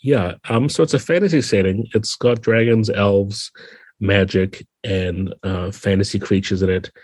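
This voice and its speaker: A man's voice: fairly low pitch, measured pace, darkish tone, no echo. A man with a New Zealand accent explaining things conversationally as a guest in a casual podcast interview. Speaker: podcast guest, tabletop game designer.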